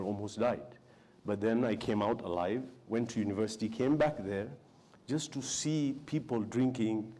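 A man's voice: on the low side, dark tone. A man in his forties from Tanzania, speaking earnestly as a panelist on a conference stage, often repeating words as he starts a point. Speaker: panelist, businessman. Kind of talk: panel discussion. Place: indoor conference hall stage. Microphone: lapel mic.

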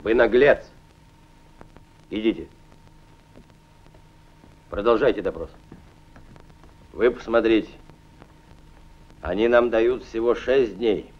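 An actor playing a stern Soviet colonel general giving orders and weighing the enemy's plans, in measured 1940s film acting. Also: male voice, fairly low pitch. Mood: grave. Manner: commanding, measured. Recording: dull old film soundtrack.

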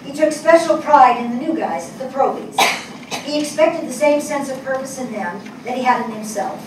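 An older woman playing a thoughtful, compassionate writer in a staged dramatic reading, measured and earnest. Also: sits high, a little mellow, distant mic, lightly acted. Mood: proud tribute. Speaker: actor in a staged play reading. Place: small indoor theatre stage before an audience. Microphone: distant camera mic. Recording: echoey stage picked up from afar, noisy.

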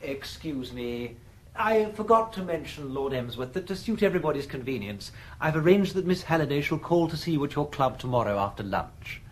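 An actor playing an efficient, humorless private secretary in a radio comedy, formal and precise, with a Received Pronunciation English accent. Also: male voice, on the high side, expressive, a little fast, a little hiss or hum, natural voice, low-quality recording. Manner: precise, formal, coolly patient. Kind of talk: radio comedy drama acting.

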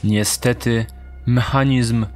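A man narrating a scripted dark story as an audio drama narrator, in measured, literary style. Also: mid-range voice, steady tone. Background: music.

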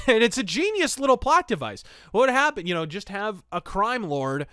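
Higher-pitched man giving casual podcast commentary. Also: sing-song, moderate pace, pushed and bright, clear, resonant tone, dry recording, studio quality. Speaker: podcast host reviewing pulp fiction.

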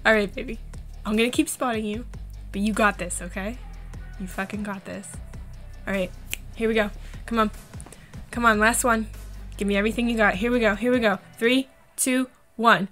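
A woman's voice: a little high, steady tone, moderate pace, long pauses, fairly bright tone, lightly acted. A woman playing an affectionate, teasing girlfriend cheering on her man in a playful, encouraging ASMR roleplay. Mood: fired-up cheering. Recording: music behind the voice.

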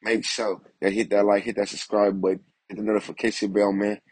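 A young man talks through the news in a casual, streetwise vlog style, tagging "you feel me" onto nearly everything he says. His voice sits a little low and comes across husky. The recording is dry and close, with no room sound around it. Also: flat delivery, brisk, no room sound. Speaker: news commentary host.

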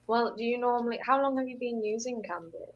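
A woman's voice: fairly high pitch, fairly quick. A young woman from the UK with a British accent that carries a hint of American, talking in a friendly, encouraging and chatty way. She speaks into an earphone mic on a video call from home. The sound is slightly muffled and lo-fi. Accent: British with some American tinge. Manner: encouraging, chatty, laughs easily. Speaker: English tutor. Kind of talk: casual online tutoring chat. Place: indoors at home.

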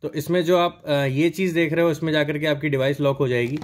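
A man's voice: on the high side, steady tone, a little fast. A young man doing a casual, explanatory unboxing narration, mixing English words into his speech. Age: young adult. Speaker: product reviewer at a riding gear store. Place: indoors in a helmet and riding gear store. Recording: noticeable background noise, store ambience.

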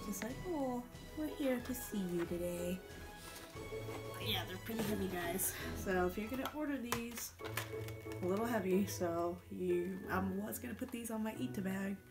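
A young woman unboxing toys in a living room, gushing and cooing over them, her voice strained as she is losing it. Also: mid-range voice. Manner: gushing, coos over toys, whispers at times. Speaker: unboxing vlogger. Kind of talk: casual unboxing chat.

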